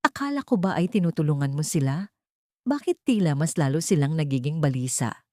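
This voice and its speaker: A woman's voice: deep voice, expressive, a little fast, a little mellow, dry recording, clean studio sound. Woman acting a worried, angry father. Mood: worried anger. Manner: emotional, demanding. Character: worried, angry father confronting his household helper.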